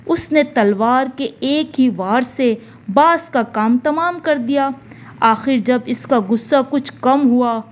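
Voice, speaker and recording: A woman narrating a scripted moral tale in a measured, deliberate storytelling manner. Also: on the high side, a little mellow.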